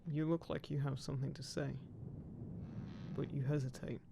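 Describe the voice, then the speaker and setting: A man's voice: fairly high pitch, little pitch movement, normal speed, background noise. A man playing a guarded ghost pirate captain, protective of his crew, speaking plainly and unhurriedly to one listener in an audio roleplay. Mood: reserved, teasing. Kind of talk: immersive roleplay audio drama, spoken intimately to one listener. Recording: clear background ship-creak sound effects. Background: creaking wooden ship ambience.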